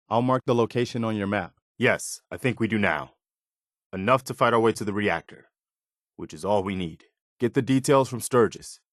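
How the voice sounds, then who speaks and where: Casting: male actor as steadfast, hopeful militia officer for a game; lowish voice, sincere measured delivery, close dry studio sound. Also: no echo, lightly acted. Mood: commanding, then determined, briefing. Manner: sincere, measured, plain-spoken. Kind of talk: video game dialogue acting.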